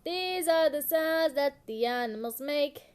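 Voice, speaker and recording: A woman delivering a children's animal-sounds rhyme in a simple, repetitive, deliberate sing-song. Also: sits high, lively intonation.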